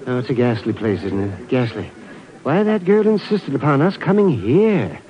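A doddering, fussy professor acted by a man from an old radio play. He mutters and repeats himself in a muddled way, pitch swooping, on a dull, hissy recording. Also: sing-song, normal speed, a crowd in the background, close to an everyday voice. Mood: muddled, fretful. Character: doddering, absent-minded fussy male professor. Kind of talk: 1950s radio drama acting.

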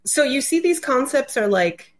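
A woman's voice: high-pitched, big pitch swings, normal speed. A woman speaking as a podcast guest, explaining her ideas in a casual, loose, conversational way with many filler phrases. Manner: informal, loose, peppered with "like" and "you know".

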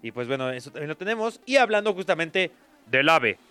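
Man, sports co-host, smooth clear voice, a bit high, joking. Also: big pitch swings, fairly quick, sharp tone, faint music behind. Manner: joking, teasing. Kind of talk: casual sports talk.

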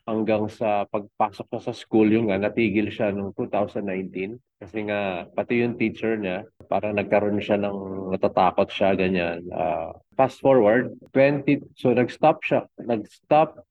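Middle-aged Filipino male interview guest, lower register, casual halting storytelling, dry but phone-like narrow audio. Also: no room sound. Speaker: podcast guest, fitness coach. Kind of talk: casual conversational storytelling in Taglish. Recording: tinny phone-like connection.

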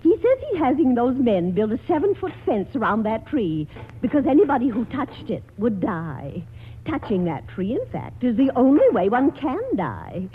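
An actress playing a shrewish, scheming aunt, prim and insistent, in a 1940s radio comedy. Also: female voice, on the high side, sing-song, moderate pace, a little hiss or hum. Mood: sardonic, insistent. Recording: tinny, band-limited old radio broadcast.

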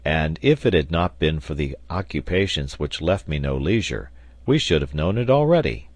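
A man voicing a measured, learned engineer and leader in an audiobook, calm and precise in his reasoning. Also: rumbling low, dry recording. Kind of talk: audiobook character dialogue.

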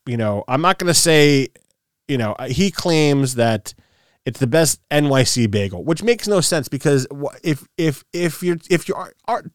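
A man's voice: fairly high pitch, expressive, normal speed, no echo. Ranting New man, dry sound. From New York City. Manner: ranting, many restarts and repeats. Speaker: podcast host. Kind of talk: casual chat.

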